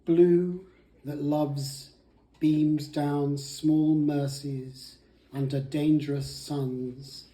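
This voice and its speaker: A woman's voice: low-pitched, little pitch movement, extremely slow, dark tone. A woman reading a poem aloud to others. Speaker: family member reading a poem. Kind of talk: poetry reading. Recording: roomy echo, faint noise.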